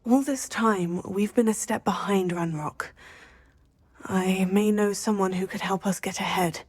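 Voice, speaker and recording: A woman's voice: medium pitch, fairly even intonation, a little slow, husky. A woman voicing an earnest teenage girl student witch in a video game. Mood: guarded, firm. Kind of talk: video game dialogue acting.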